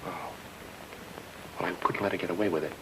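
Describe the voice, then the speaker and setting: An actor playing an excitable, wisecracking newlywed private detective in 1940s radio noir style. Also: male voice, low-pitched, fairly quick, noisy background, close to an everyday voice. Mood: flustered pleading. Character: fast-talking newlywed private detective, flustered yet wisecracking. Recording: old radio drama, music underneath.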